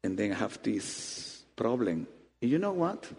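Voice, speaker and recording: Animated preacher in a reverberant hall. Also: male voice, fairly low pitch, measured pace, husky. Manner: animated preaching with rising emphatic peaks and soft asides. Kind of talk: sermon. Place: church hall. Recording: long reverb of a church hall.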